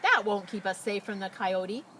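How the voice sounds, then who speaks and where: A woman's voice: mid-range voice, normal speed, lightly acted. A woman voicing a bold, skeptical storybook hen that clucks dismissively, recorded outdoors with noise. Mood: scornful.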